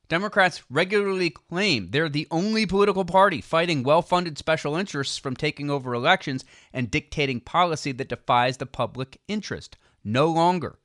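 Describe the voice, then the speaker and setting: A man with an American accent reading a political audiobook in a measured, emphatic, persuasive way. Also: fairly high pitch, a bit bright, no echo, studio quality. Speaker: audiobook narrator. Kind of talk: scripted narration of a political book.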